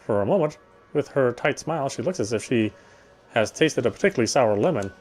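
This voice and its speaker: Mid-pitched man reading story narration aloud. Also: lively intonation, fairly quick, darkish tone, faint music behind. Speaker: game player reading story text aloud. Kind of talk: first-person narration of a visual novel.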